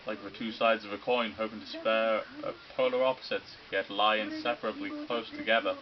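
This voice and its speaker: A man voicing a gleeful, sinister male robot bear headmaster who lectures in a mocking, theatrical, drawn-out way. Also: lowish voice, steady tone, highs cut off, crowd noise, lightly acted. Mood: sinister lecturing. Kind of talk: video game dub reading.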